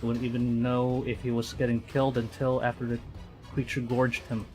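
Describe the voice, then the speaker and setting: A man doing live game commentary, excitable, reading story text aloud and muttering phrases over to himself. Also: medium pitch, normal speed, soft background music, rough recording. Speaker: streamer.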